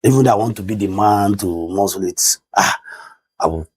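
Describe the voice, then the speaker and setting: A middle-aged Nigerian man with a Nigerian English accent and a loud voice, talking emphatically in a studio discussion. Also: lowish voice, normal speed, husky. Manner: emphatic, excitable, rises sharply when stressing points. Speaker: discussion panelist.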